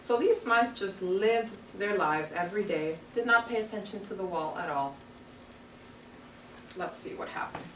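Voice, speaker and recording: A woman's voice: medium pitch, animated, moderate pace. A woman teacher leading a read-aloud lesson for young children, speaking in simple, instructive phrases. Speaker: elementary school teacher. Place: carpeted classroom full of children. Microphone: far camera across the room. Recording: roomy, distant classroom camera with background noise.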